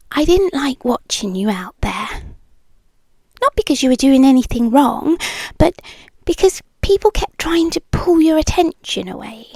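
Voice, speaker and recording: A British woman playing a possessive, doting girlfriend in an intimate ASMR roleplay, speaking softly and breathily, often in whispers, close to the microphone. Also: high-pitched, no echo, heavily acted. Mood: possessive, confiding. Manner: slow, lingering, coaxing delivery with pauses. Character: possessive, doting yandere girlfriend, soothing and clingy. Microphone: close microphone, dry.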